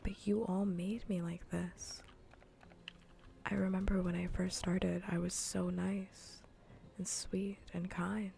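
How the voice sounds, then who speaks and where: A woman livestreamer chatting casually in ASMR style while gaming, soft, breathy and often whispering, with a playfully scolding manner. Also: lowish voice, little pitch movement, slow, slight background noise.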